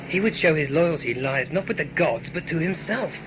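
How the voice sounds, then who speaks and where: A man's voice: fairly high pitch, expressive, moderate pace, lightly acted. A man acting a scheming, ambitious conspirator in a sci-fi audio drama, intense, formal and persuasive. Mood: scheming, urgent. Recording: noisy amateur audio play recording.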